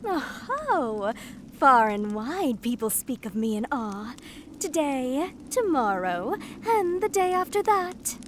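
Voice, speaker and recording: A woman playing a wistful wandering lute player of humble birth in a video game, telling her story in a theatrical, measured way. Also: high-pitched, sing-song, slight background noise, full character voice. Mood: grand, playful.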